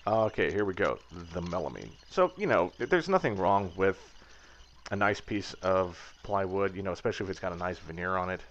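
A man's voice: sits low, lively intonation, fairly quick, a bit bright, slight background noise. A man in his thirties giving a rambling, wry voice-over about his workshop build, slipping into mock rants. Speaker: aircraft builder vlogger. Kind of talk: casual voice-over commentary. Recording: slightly dull lo-fi sound.

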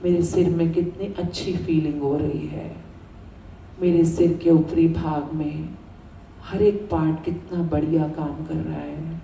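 An Indian-accented woman guides a gratitude meditation in a low, unhurried voice. She repeats soothing affirmations deliberately, leaving space between phrases. The recording has a roomy echo, as if the mic is at some distance. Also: level tone, vocal fry, warm tone, slight background noise.

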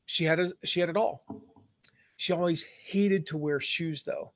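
A man's voice: fairly high pitch, moderate pace, no echo. Rambling, jokey man on a live stream. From Southern United States. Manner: rambling, jokey, many fillers and restarts. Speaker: online business coach. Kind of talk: casual live-stream lesson.

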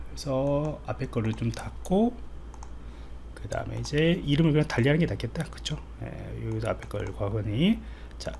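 A man's voice: fairly high pitch, darkish tone, a little hiss or hum. A man giving an informal screencast programming tutorial as an instructor, explaining step by step and checking in with his listeners.

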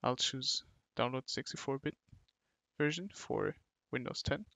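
A man narrating a step-by-step software tutorial in short, halting instructional phrases into a close mic. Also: mid-range voice, dry recording. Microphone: close mic, screen-recording voice-over.

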